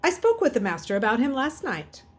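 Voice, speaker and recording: A woman's voice: sits high, sing-song, a little fast, voice pushed up. A woman voicing a jolly, reassuring father in a storybook, with a hearty, falsely cheerful manner. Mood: assured.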